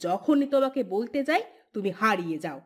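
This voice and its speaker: A woman voicing a sharp-tongued landowner's wife in a cartoon, scolding with pointed, sarcastic questions. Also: mid-range voice, big pitch swings, brisk, husky. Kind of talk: Bengali cartoon voice acting.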